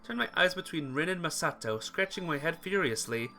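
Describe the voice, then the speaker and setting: A let's player reading a visual novel aloud as expressive narration, sometimes stumbling and rereading phrases. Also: medium pitch, a little fast, faint music behind. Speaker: video game let's player.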